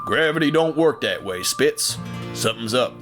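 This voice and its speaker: A man's voice: medium pitch, lively intonation, normal speed, lightly acted. A man voicing a tough, stubborn workhorse in an audio drama, speaking in blunt, folksy slang, unsentimental, with music behind. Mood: wary, serious.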